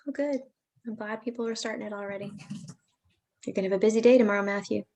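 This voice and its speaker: A woman's voice: medium pitch, fairly even intonation, fast, a little mellow, muffled recording. A woman hosting a virtual parent information session, talking in a friendly, chatty, reassuring way over a video call. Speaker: pre-college program staff host.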